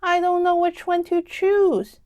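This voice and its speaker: A woman voicing an excited, sweet young girl pig in a children's storybook, eager and childlike. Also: very high-pitched, measured pace, pitched above the performer's own voice. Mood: thoughtful, bright.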